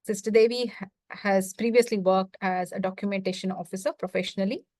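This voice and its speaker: A woman's voice: mid-range voice, steady tone, brisk, darkish tone, dry recording. Woman host with a dry, close sound. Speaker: host introducing a guest speaker. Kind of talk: formal spoken introduction.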